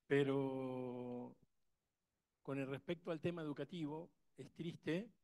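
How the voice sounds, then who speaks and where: A man's voice: medium pitch, takes it slowly, a little mellow. A man with an Argentine accent, speaking up from the audience at a conference, emphatic and rambling with many restarts. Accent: Argentine Spanish. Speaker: conference attendee asking a question. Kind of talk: impassioned audience remark.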